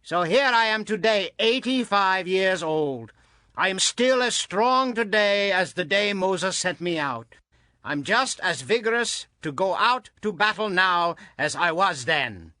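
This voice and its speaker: An actor playing a vigorous, devout 85-year-old warrior, earnest and declamatory, in a dramatized audio Bible. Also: male voice, sits high, lively intonation, a little slow, sharp tone, no room sound, full character voice. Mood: determined, resolute.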